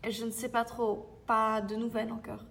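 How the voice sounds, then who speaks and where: A female performer playing a gentle, reassuring sixteen-year-old survivor in a French audio drama. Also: a little high, level tone, normal speed, vocal fry, slight background noise.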